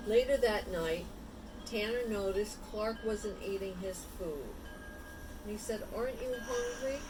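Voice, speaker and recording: A woman reads a storybook to small children at an unhurried pace. She breaks off to explain the pictures and ask simple questions. There is clear background noise in the recording. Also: mid-range voice. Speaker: storybook reader. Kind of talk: read-aloud story with chatty asides to young children.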